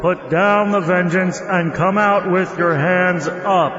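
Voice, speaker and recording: A man's voice: high voice, steady tone, takes it slowly, fairly bright tone. A man playing an officious, commanding police sergeant in a comedy audio drama. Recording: echoing, muffled, music behind.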